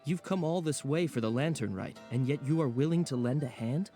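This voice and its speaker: A man's voice: medium pitch, brisk, dark tone, lightly acted. A man voicing a courteous, grateful townsman client in a video game. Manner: courteous, formal. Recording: game audio with clear music behind.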